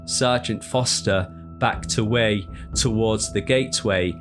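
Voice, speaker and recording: A man narrating a historical crime tale in a measured, deliberate, dramatic storytelling style. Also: fairly low pitch, over music.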